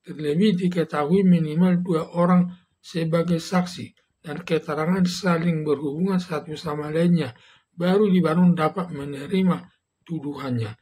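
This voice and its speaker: A man's voice: on the high side, darkish tone, breathy edge. An older man from Indonesia reads a scripted commentary in a formal manner, stumbling now and then over his words. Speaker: legal commentator, retired prosecutor and law lecturer. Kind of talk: scripted commentary, read aloud.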